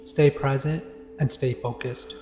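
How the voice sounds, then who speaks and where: A man leading a guided meditation in a calm, deliberate, instructive way with pauses. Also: medium pitch. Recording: echoey long reverb, music behind. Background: background music.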